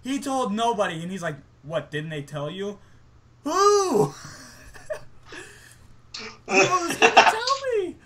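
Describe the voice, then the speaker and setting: A young man with a forceful, bright voice on the higher side swaps stories casually while gaming. His delivery is animated and incredulous, and faint noise sits behind him on a home mic. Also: slow pace, pauses often. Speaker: gaming story host. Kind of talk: casual banter over online game.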